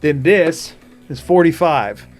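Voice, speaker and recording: A man's voice: on the high side, animated, takes it slowly, faint music behind. A man with a Southern US accent, streaming and chatting casually in a rambling, self-interrupting way. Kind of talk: casual chat while gaming.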